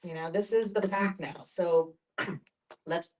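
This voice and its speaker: A middle-aged woman telling personal experience in a group discussion, reflective and halting as she thinks aloud. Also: on the low side, fairly even intonation, darkish tone. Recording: distant mic, group discussion.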